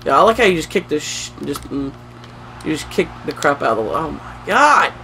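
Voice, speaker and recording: A young man playing a video game with a friend, calling out the action with excitable exclamations. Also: fairly high pitch, fairly quick, gravelly, slight background noise. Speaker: co-player. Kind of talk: casual play-by-play banter.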